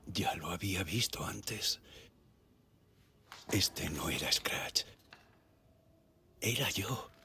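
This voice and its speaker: An actor playing a tormented, guilt-ridden writer in a dramatic game story, brooding and haunted, desperate in temper. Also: male voice, on the low side, fairly bright tone, a little hiss or hum. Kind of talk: dramatic scripted game acting and narration.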